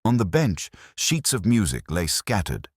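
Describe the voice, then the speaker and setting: A man narrating a scripted literary mystery story in a measured storytelling manner. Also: on the low side, huge pitch swings, no room sound, studio quality. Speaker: audiobook narrator.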